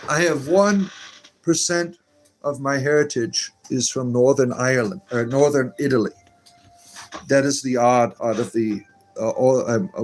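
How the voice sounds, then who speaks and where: A man's voice: medium pitch, poor audio. Chatty man reading live comments. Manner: reads comments aloud and answers them in a meandering, chatty way. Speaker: livestream host reading viewer comments. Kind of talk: casual live-stream chat.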